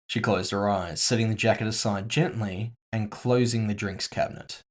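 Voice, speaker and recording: A man reads scripted audio fiction as a first-person narrator. His voice sits on the low side as he tells the story. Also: steady tone, moderate pace.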